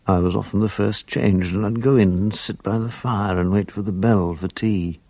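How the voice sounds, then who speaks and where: A reflective English narrator of a radio drama, recorded dry and close. The voice is low and dark with a husky, creaky grain, and the phrasing is measured and literary. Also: male voice, fairly even intonation, no echo. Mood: wistful, reflective. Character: reflective grown man recalling his English boarding-school days.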